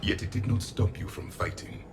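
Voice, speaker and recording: An actor voicing a cold, pompous ancient alien warrior in a video game, with measured, unsympathetic delivery. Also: male voice, very noisy, lightly acted. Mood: cold, stern. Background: music.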